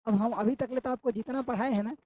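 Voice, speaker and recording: A man's voice: very high-pitched, fairly even intonation, quick pace, a little mellow. A man with a sore throat teaches an online exam-prep class, repeating each question back to his students.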